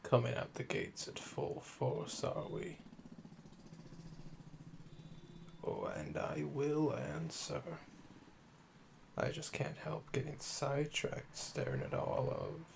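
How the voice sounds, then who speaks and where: A man playing a calm, flirtatious, obsessive assassin under interrogation in an ASMR audio drama, teasing and deliberate, with breathy murmurs. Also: fairly high pitch, slight background noise, exaggerated voice.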